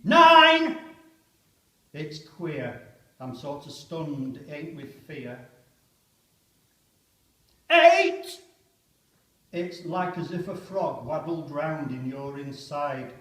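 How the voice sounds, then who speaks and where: A man's voice: fairly high pitch, full character voice. A man performing a dramatic poem as a stunned, fatalistic British soldier of the First World War waiting to attack. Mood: tense, defiant. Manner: dramatic declaiming with sudden loud shouted countdown calls. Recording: echoey church, distant microphone.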